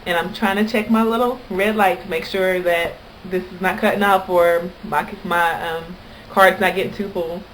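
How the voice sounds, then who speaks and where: A woman's voice: on the low side, steady tone, moderate pace, few pauses, a little hiss or hum, poor audio. A woman from Kentucky with an American accent, chatting casually and anecdotally to camera as a vlogger. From Kentucky, United States.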